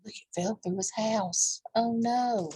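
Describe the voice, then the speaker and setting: Husky-voiced woman reading to children. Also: fairly high pitch, slow, dark tone, low-quality recording. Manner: simple asides pointing out the pictures, with exclamations. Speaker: children's library storytime reader. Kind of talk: reading a picture book aloud to young children.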